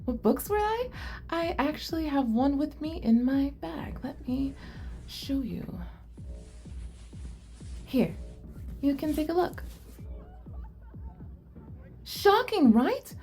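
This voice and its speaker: A woman's voice: high-pitched, very animated, slow pace, long pauses, warm tone, soft background music, exaggerated voice. A woman playing a shy, curious, nerdy young goblin girl in an ASMR roleplay, speaking earnestly and expressively to the listener. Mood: eager, chatty.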